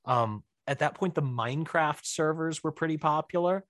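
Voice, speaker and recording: A man speaking as an interview guest, telling behind-the-scenes filmmaking stories in a casual, animated, chatty way. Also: fairly high pitch, normal speed, dry recording, studio quality.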